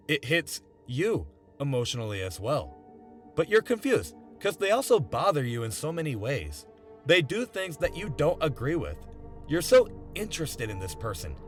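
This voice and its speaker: A young man narrating a scripted video essay in an earnest, emphatic, conversational way. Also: fairly high pitch. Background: background music.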